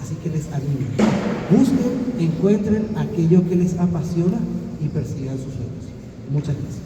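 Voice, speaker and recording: A man's voice: a little high, expressive, normal speed, highs cut off, background noise. A man from Paraguay giving an encouraging talk to students in a large hall, asking rhetorical questions. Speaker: scientist speaking at a science festival. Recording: echoey hall from far off.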